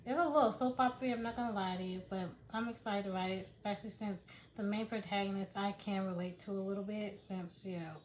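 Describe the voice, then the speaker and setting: A woman's voice: mid-range voice, steady tone, quick pace, hardly any pauses, a little hiss or hum, poor audio. A woman chatting casually to her viewers in a vlog, rambling with many restarts. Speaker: vlogger and writer. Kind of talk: casual chat to camera.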